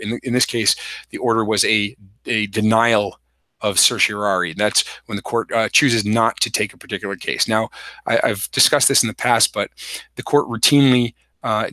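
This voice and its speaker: A man hosting a solo legal explainer livestream, hoarse from a cold, talking with many fillers and restarts. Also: on the low side, pushed and bright, dry recording. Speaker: podcast host.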